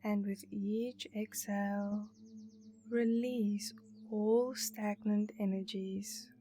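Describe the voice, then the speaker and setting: A woman narrating a guided meditation in a soothing, hushed manner with breathy phrase endings. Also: medium pitch, level tone, extremely slow. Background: meditation music.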